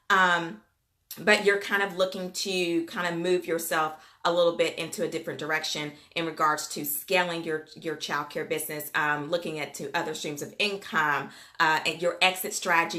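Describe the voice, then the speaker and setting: A woman's voice: lowish voice, pushed and bright. A woman with a Southern US accent, hosting a casual livestream and chatting folksily with viewers. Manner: chatty, folksy, addresses viewers as "you guys" and "y'all". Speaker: livestream host, daycare business coach. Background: Gulf Coast.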